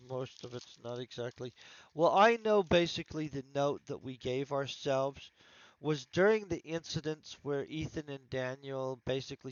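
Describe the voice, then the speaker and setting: A man's voice: medium pitch, dry recording, highs cut off. Congested man rambling on a livestream. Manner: rambling, thinking aloud with restarts. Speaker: author streaming his writing session. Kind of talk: casual livestream chat.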